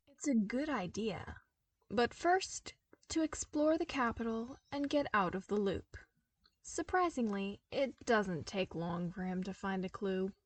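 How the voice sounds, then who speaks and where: A woman's voice: mid-range voice, no room sound. Woman narrating a fan story, dry sound. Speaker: podfic reader. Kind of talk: scripted narration.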